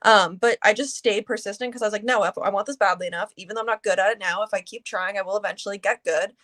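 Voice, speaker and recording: A woman's voice: mid-range voice, steady tone, fast, fairly bright tone. A woman in her early twenties, a singer from Canada, talking casually as an interview guest, chatty with many fillers. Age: 20s.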